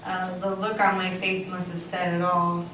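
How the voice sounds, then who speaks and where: A woman performing a short story at a live reading in a cafe, with an expressive, deliberate, theatrical delivery and dramatic pauses. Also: on the low side. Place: indoor cafe stage before an audience. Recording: echoey live venue, distant mic, room noise.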